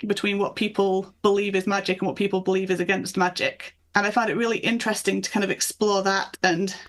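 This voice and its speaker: A woman's voice: mid-range voice, level tone, fast. A woman speaking as a guest author in a conversational interview, explaining things with enthusiasm. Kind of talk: conversational interview chat about folklore.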